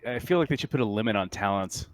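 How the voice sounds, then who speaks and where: A man with a somewhat low voice chats casually among tabletop gamers. His talk is informal and conversational. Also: expressive, fast, dry recording. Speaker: tabletop role-playing game participant. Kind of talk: casual chat.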